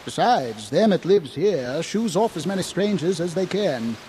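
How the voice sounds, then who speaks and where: A man's voice: on the high side, big pitch swings, a little slow, mellow, slight background noise. An actor playing a frightened, half-mad old drunken townsman in an audio horror drama, cackling and rambling in folksy dialect. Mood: conspiratorial, grim. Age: older.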